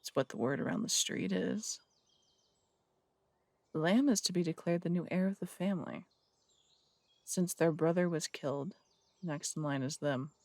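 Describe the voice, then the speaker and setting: A man's voice: on the high side, normal speed, pauses often, darkish tone, no room sound, close to an everyday voice. A man playing a blunt, distrustful city detective who speaks in curt, suspicious questions. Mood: accusing. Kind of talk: audio roleplay drama acting.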